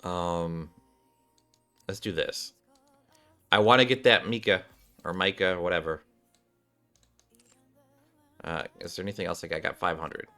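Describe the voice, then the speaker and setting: Low-voiced man streaming a game. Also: measured pace, pauses often, fairly bright tone. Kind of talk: casual gameplay commentary. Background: game music.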